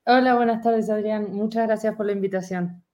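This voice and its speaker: A woman's voice: medium pitch, steady tone, normal speed, dark tone, dull audio. A young woman from Argentina with an Argentine accent, a student guest speaking in a friendly, easygoing way on a video call. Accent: Argentine Spanish. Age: young adult. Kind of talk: casual interview answers.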